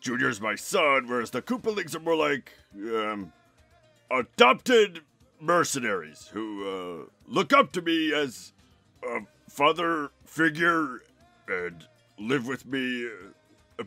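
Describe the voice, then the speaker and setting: A huge cartoon monster king with a forceful, gravelly growl. He is boastful, bossy and quick to anger, swinging widely in pitch over background music. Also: sing-song, no room sound, full character voice. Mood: gruff explaining. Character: big bossy hot-tempered boastful male turtle monster king. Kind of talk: cartoon fan-dub character acting.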